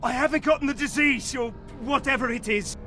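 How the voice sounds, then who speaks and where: Frightened game thief, high voice, bright and tense, pleading, music behind. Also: male voice, a little fast. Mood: desperate, pleading. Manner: pleading, anxious. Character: desperate pleading human treasure-thief, a frightened male captive. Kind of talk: video game voice acting.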